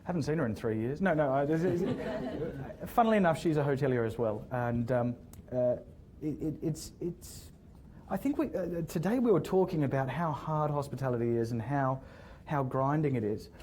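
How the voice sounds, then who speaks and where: A man's voice: medium pitch, lively intonation, normal speed, darkish tone, slight background noise. A man, a hotel general manager, talking casually to a room of students and answering questions, often repeating words as he starts sentences. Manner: hesitant, repeats words, friendly storytelling. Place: lecture room with audience.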